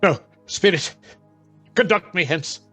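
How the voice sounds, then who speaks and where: A man's voice: sits high, lively intonation, takes it slowly, sharp tone, husky, soft background music, full character voice. An actor playing a crotchety, frightened old male miser in a theatrical radio play style. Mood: anguished pleading. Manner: theatrical, exclamatory.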